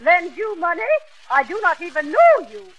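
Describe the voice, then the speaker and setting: A woman's voice: very high voice, sing-song, normal speed, a bit bright, exaggerated voice. A woman playing a prim, haughty lady passenger, formal and affronted, in old radio comedy style. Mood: indignant. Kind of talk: 1940s radio comedy acting. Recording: noisy old radio broadcast with audience.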